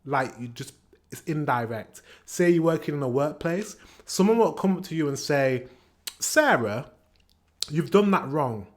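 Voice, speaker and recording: A man's voice: a little high, lively intonation, measured pace. A British man giving casual, opinionated commentary as a reaction host, chatty and given to acting out examples. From England. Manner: chatty, acts out example exchanges.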